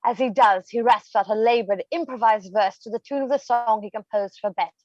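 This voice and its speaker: A slightly lo-fi, dry recording of a woman narrator. Her fairly high voice reads stage directions from a script as narration for a play. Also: a little fast, a little mellow, dry recording.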